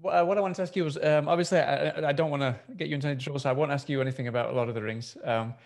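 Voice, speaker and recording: Male interviewer, rapid talker, somewhat high pitch; informal, meandering, halting questions. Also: steady tone, vocal fry, noisy background. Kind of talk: casual interview chat.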